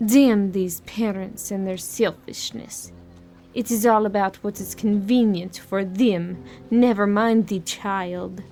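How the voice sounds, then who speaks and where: A woman's voice: medium pitch, steady tone, takes it slowly, dark tone, soft background music. An actress playing a tough, sardonic Bulgarian woman minding a child in an audio horror drama, coaxing and wry. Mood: irritated.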